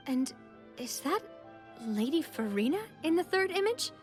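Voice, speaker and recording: A woman's voice: high-pitched, sing-song, a little slow, soft background music. An actress playing a thoughtful young woman in video game dialogue, reasoning aloud in an earnest way.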